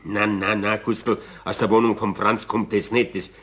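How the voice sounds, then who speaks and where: A man's voice: on the low side, little pitch movement, moderate pace, fairly bright tone, roomy sound, far from the mic, natural voice. An actor playing a concerned, officious Bavarian man in a German radio play, insistent and dutiful.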